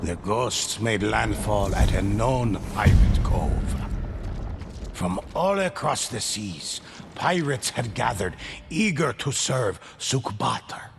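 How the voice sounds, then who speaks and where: A man narrating a game story in a measured, solemn way. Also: on the low side, animated, creaky, background noise.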